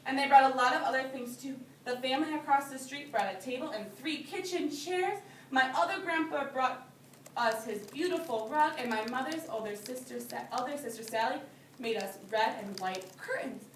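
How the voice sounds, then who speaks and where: A woman reading a picture book aloud to young children as their teacher, pausing to explain things in simple phrases. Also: sits high, a bit bright. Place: indoor classroom. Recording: roomy, distant microphone with background noise.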